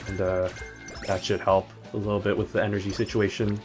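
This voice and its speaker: Guy streaming a game, kinda low voice, rambly and jokey, keeps correcting himself. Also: flat delivery, fairly quick, music in the background. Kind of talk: casual game-stream commentary.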